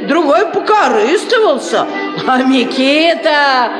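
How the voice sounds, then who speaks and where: A wily peasant matchmaker woman in a 1950s Soviet radio play. She coaxes and insists in folksy speech, slow and high. The recording is roomy, with music behind. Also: big pitch swings, exaggerated voice. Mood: insistent.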